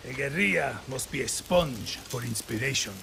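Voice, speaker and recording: A man's voice: mid-range voice, animated, moderate pace, gravelly, noisy background. A man voicing an eccentric, cocky older guerrilla weapons tinkerer in a video game, with a Latin American accent and playful, theatrical swearing. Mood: gleeful showmanship. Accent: Latin American Spanish.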